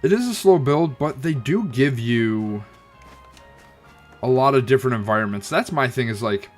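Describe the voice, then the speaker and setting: A man's voice: medium pitch, expressive, normal speed, soft background music. A man streaming a game and chatting about it, thoughtful and hesitant, with a slightly stuffy, nasal sound. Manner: thoughtful, hesitant, many ums and restarts. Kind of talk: casual gameplay commentary.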